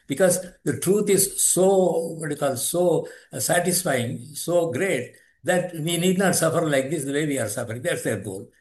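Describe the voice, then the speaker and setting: An older man from South India with a Tamil accent, a yoga teacher and author explaining philosophy in a measured way, often pausing to search for words. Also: fairly high pitch, animated, a little mellow. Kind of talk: interview explanation.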